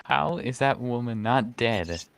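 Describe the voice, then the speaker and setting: A man's voice: mid-range voice, animated, measured pace, gravelly, dry recording. A man making casual, joking commentary over gameplay in a wry, incredulous manner.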